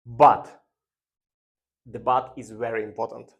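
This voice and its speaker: Slow, halting male finance instructor. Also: medium pitch, flat delivery. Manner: halting, deliberate delivery in short phrases. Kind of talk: explanatory lecture.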